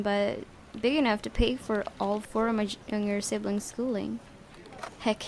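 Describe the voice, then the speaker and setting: Woman, game let's-play host, expressive narration, casual giggly asides, medium pitch. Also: slight background noise. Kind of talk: reading a visual novel aloud with casual reactions.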